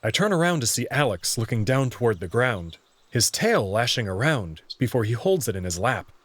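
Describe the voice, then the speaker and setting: A man's voice: medium pitch, very animated, normal speed, a bit bright, dry recording. A man reading scripted first-person narration for a visual novel.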